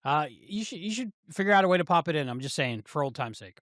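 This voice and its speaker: A man with a somewhat high-pitched voice co-hosts a pop-culture review podcast. He talks in a casual, conversational style, recorded dry with hardly any room sound. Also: expressive, fast, a bit bright, no echo. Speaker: podcast co-host.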